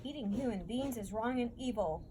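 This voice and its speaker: A gentle storybook giant voiced by a woman, high in pitch and full of innocent earnestness. He speaks in muddled comic grammar, with clear noise behind him. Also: animated, a little fast, lightly acted. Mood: indignant, dignified.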